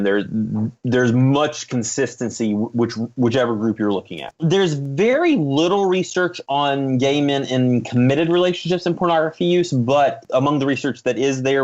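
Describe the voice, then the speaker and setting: Male expert interviewee, medium pitch, academic and careful explainer, slightly dull recorded sound. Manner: measured, academic, careful qualifying. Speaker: guest expert, psychology professor.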